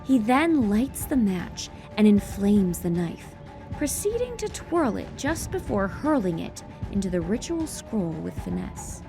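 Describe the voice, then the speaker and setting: A woman's voice: medium pitch, big pitch swings, measured pace, creaky. A woman giving dramatic scripted narration of action in a fantasy audio drama. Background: loud music.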